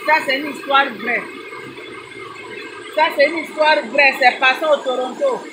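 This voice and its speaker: A woman's voice: high voice, measured pace, noisy background. A woman, not a native French speaker, giving an informal preaching talk to mothers, praying and then scolding in a repetitive way. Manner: prayerful, scolding, repetitive, addresses listeners as mothers. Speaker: advice vlogger.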